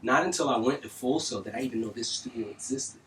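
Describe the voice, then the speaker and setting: Animated middle-aged man, profane slang. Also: medium pitch, fast. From North Miami, Florida. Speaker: music engineer guest. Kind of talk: casual interview storytelling. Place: recording studio. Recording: distant mic, faint noise.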